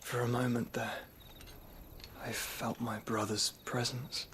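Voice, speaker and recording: An actor playing a gentle, solemn young nobleman, weary and wounded, speaking formally in a soft, breathy voice near a whisper. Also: medium pitch, level tone, slight background noise. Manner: measured, formal, reflective speech. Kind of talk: game cutscene acting.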